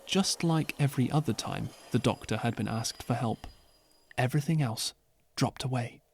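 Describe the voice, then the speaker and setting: A man narrating an audiobook as scripted storytelling, measured and earnest, with dramatic pauses. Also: medium pitch, a little mellow. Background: clear background music.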